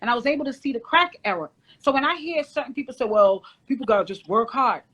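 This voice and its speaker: Woman from Jamaica, fast and insistent, holds the floor in a heated debate, somewhat high voice. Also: expressive, fairly bright tone, husky, muffled recording. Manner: insistent, talks over others. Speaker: panelist.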